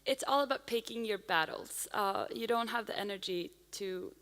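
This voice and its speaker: Brief: woman audience member, friendly quick comment, reverberant hall. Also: mid-range voice, a bit bright.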